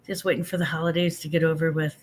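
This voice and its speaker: A woman's voice: low voice, flat delivery, quick pace, breathy edge. An older woman, a grandmother, hosting a casual livestream, chatting in a rambling, self-deprecating way while answering viewers, a little stuffy from allergies. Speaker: livestream host.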